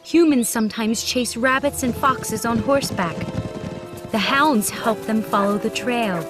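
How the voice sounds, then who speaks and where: A woman playing a gentle, wise mother horse in an animated storybook, tender and earnest. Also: a little high, unhurried, music in the background, natural voice. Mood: grave, pensive.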